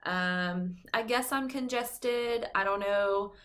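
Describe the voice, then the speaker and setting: A woman's voice: mid-range voice, slow pace, a bit bright. A young adult woman, heavily pregnant and a little short of breath, giving a casual, rambling vlog update full of fillers. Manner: chatty, rambling, many fillers and "like". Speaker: vlogger.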